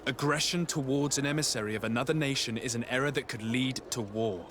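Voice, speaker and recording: A man's voice: medium pitch, fairly quick, background noise, natural voice. An actor playing a composed young nobleman diplomat in a video game, speaking in a polite, formal, articulate way. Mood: firm, diplomatic.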